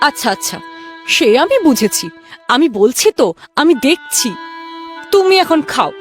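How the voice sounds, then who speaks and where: A woman's voice: sits high, big pitch swings, a little slow, soft background music. A woman playing a soothing, indulgent housewife and mother in a cartoon, coaxing and placating as she talks. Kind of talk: cartoon voice acting.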